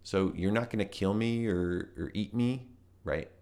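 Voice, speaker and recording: A jittery young firefly boy, tumbling over his words and stammering when cornered, pitched noticeably above a deep-voiced storyteller's own tone, as a man puts him on. He sounds like a storybook hero who is always in trouble. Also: a little mellow, natural voice. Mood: flustered. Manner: stammering, rushed. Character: anxious young male firefly outcast.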